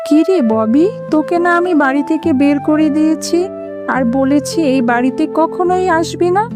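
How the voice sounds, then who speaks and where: Woman acting a high-pitched dramatic cartoon aunt, music behind. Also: normal speed, lightly acted. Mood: angry, scolding. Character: scolding, greedy aunt in a village moral tale. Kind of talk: children's cartoon dub.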